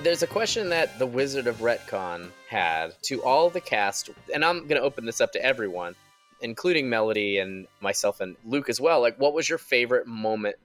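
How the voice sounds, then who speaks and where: A man's voice: mid-range voice, animated, normal speed, a bit bright, soft background music. A man moderating questions casually as the sound designer.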